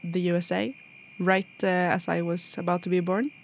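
A woman's voice: fairly low pitch, fairly even intonation, moderate pace, gravelly, a little hiss or hum. A woman from Norway co-hosting a podcast in a radio studio, chatting casually with hesitant restarts. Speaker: podcast co-host.

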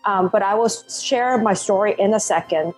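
A woman in her thirties from Hong Kong, a Cantonese native speaker with a Hong Kong English accent, telling her story as a podcast guest in animated, laugh-filled talk. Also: mid-range voice, normal speed, soft background music, poor audio. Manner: animated, laughs often, non-native phrasing. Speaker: guest, life coach. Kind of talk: podcast interview.

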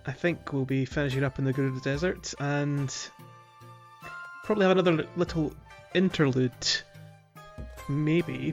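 A man's voice: medium pitch, gravelly, a little mellow. A man hosting a casual gaming podcast, chatty and steering the conversation. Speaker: podcast host.

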